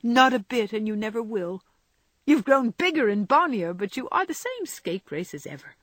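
Woman acting a high, excitable young woman. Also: normal speed, no echo. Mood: teasing. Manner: exclamatory, mock-scolding. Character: spirited, exclaiming young woman, joyful and teasing.

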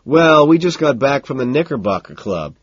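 A man voicing a jovial, self-assured yuppie socialite banker in an audiobook story, loud and hearty. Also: mid-range voice, sing-song, normal speed, breathy edge, no room sound, highs cut off, pitched above the performer's own voice. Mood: jovial, breezy.

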